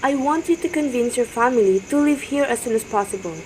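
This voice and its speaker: Woman acting devoted young man, higher voice, amateur radio drama, faint cricket noise. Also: expressive, fairly quick, a little mellow, close to an everyday voice, low-quality recording. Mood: earnest, reassuring.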